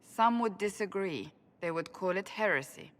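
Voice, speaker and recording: An actress playing a devout warrior in a game, grave, principled and thoughtful. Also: female voice, mid-range voice, expressive, moderate pace, in a large hall, close to an everyday voice. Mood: wry, grave. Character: earnest, devout female warrior, principled and brooding. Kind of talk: dramatic game acting.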